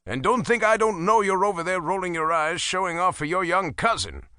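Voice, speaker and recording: A man voicing a boastful, stubborn older father in an audiobook, loud and proud. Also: high voice, expressive, brisk, dry recording, studio quality, pitched above the performer's own voice.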